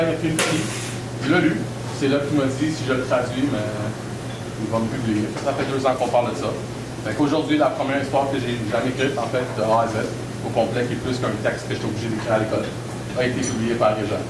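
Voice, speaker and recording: Distant young man. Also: on the low side. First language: English. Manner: halting, unscripted. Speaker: author at a book launch. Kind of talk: unprepared speech, then reading an excerpt. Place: indoor book launch event room. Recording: roomy, distant, heavy room noise.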